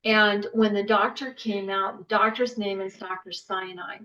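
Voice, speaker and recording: A woman telling a long story in casual chat as a podcast guest, animated, with filler words. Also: medium pitch, a little slow. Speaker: patient's wife, podcast guest. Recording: muffled lo-fi podcast audio.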